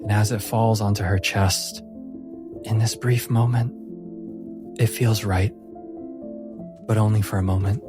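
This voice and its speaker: A man narrating a tabletop game as game master, telling the story dramatically with deliberate, suspenseful pauses. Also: fairly low pitch, fairly even intonation. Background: music.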